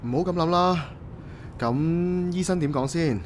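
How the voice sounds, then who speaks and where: A man acting a kind, calm stranger in a radio drama, gentle and reassuring, with a Hong Kong Cantonese accent. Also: on the high side, measured pace, slight background noise, close to an everyday voice. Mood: concerned, comforting. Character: kind, calm, reassuring male bus acquaintance.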